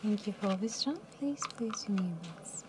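A young woman dealing cards at a live casino table, calling results in a soft, mumbly voice that sinks toward a whisper. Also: mid-range voice, lively intonation, moderate pace, noisy background. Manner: soft, mumbly, drops to near whisper at round ends. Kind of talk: calling card game rounds.

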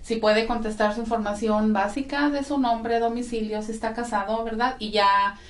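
A woman's voice: on the high side, fairly even intonation, moderate pace. A woman in her forties, an immigration legal representative, explains steps in a friendly way, often adding tag questions. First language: Spanish. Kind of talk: informative interview talk. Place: small office with bookshelves, indoors. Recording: echoey office room.

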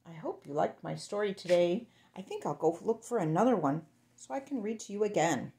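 A woman's voice: mid-range voice, lively intonation, brisk, gravelly, mellow. A woman reading a picture book aloud to small children, sing-song and playful, speaking to them directly. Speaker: children's storyteller.

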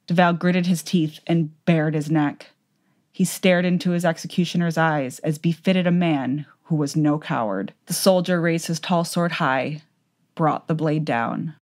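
Low-voiced woman narrating fantasy. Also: darkish tone. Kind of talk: scripted fantasy audiobook narration.

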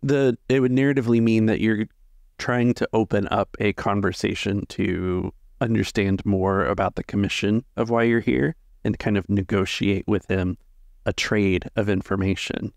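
A man with a gravelly, creaky voice acting as game master, explaining rules and narrating a tabletop story. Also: fairly low pitch, fairly even intonation, normal speed, darkish tone, dry recording.

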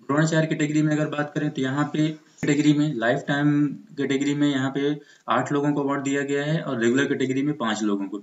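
A man's voice: a little high, little pitch movement, fairly quick. An Indian man teaching exam preparation in an explanatory lecture style, mixing in English terms. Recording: muffled, echoey room recording.

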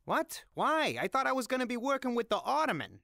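A woman's voice: fairly high pitch, sing-song, fairly quick, creaky, a bit bright, no room sound, clean studio sound, heavily acted. A woman playing a sulky, defensive, slightly dim henchman in a crime comedy audio drama.

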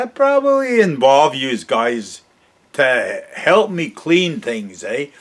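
Slow-talking older Canadian man, folksy. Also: mid-range voice, fairly bright tone. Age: 60s. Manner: folksy, halting, often tags sentences with "eh"; jovial, chuckles often. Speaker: vlog host. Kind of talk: casual rambling storytelling to camera. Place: cluttered garage workshop, indoors.

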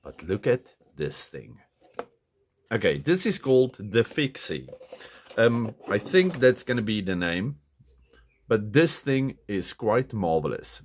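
A man from South Africa with a stuffy, nasal voice from a cold, chatting casually through a hands-on knife review at his desk, full of "okay" and "um". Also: lowish voice. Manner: chatty, self-correcting, lots of "okay" and "um". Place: indoors at a desk. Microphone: camera on the desk, hands-only framing.

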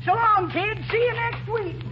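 Old-timer, American, very high voice, folksy, cranky, radio comedy. Muffled vintage sound. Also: male voice, a little slow. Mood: fed up, exasperated. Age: older. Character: cranky, folksy old man, a cackling teller of stale jokes. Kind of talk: 1940s radio comedy acting. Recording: muffled, faintly noisy 1940s radio broadcast.

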